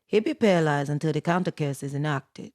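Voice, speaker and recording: Woman playing a stern, low-voiced ancient voodoo priestess. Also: fairly quick, a little mellow, no room sound, clean studio sound, close to an everyday voice. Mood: brusque. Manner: curt, scolding, broken English with tag questions. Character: 110-year-old female voodoo high priestess, stern, blunt. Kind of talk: campy horror-comedy film acting.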